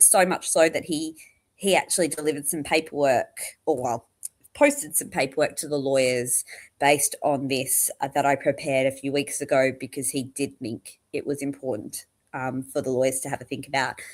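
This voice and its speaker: A woman hosting a casual livestream, chatty and rambling, her voice croaky and tired, greeting viewers one by one. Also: lowish voice. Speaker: livestream host.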